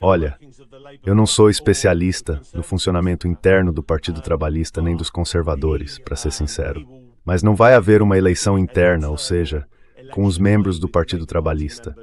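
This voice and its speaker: A man's voice: deep voice, a little fast, darkish tone. A man speaking as an interview guest, commenting on politics in a conversational, emphatic way that leans on repetition.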